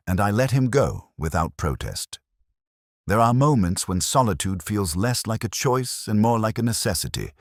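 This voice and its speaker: A brooding male detective , acted by a man, recounts his case as scripted radio-mystery narration. His voice is extremely deep and sits in a dry, close sound. The delivery is measured and literary, with a melancholy, reflective temper. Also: dry recording, exaggerated voice.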